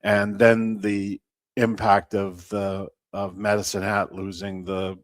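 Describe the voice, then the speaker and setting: Low-pitched man, halting interview talk. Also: little pitch movement, no room sound, studio quality. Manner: measured, halting, often pausing mid-sentence. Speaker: baseball team representative, interview guest. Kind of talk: unscripted sports interview talk.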